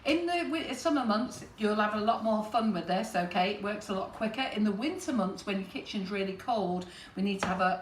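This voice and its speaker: A woman's voice: medium pitch, far from the mic. A woman hosting a live baking demonstration, chatty and rambling with frequent jokes. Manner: chatty, rambling, jokey, laughs often. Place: kitchen. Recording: echoey kitchen, voice from off the mic, background noise.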